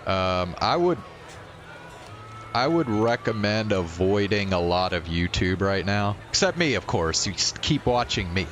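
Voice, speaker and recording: A man's voice: on the low side, expressive, measured pace, fairly bright tone, a little hiss or hum. A man casually commentating on a live game stream in a blunt, profanity-laced way, speaking off the cuff. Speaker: livestreamer.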